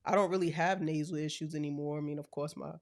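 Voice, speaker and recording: A woman with a deep voice hosting a podcast, chatting with her parents at their home in a relaxed, storytelling way. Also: fast. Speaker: podcast host. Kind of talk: casual family interview.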